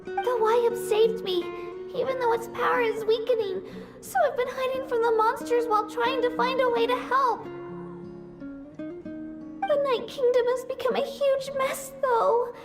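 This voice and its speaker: A woman's voice: very high voice, steady tone, measured pace. A woman voicing a small, humble, frightened young girl trying to be brave in a video game, speaking hesitantly and earnestly. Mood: anxious, earnest. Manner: hesitant, earnest, self-effacing. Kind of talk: English video game voice acting. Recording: music behind the voice.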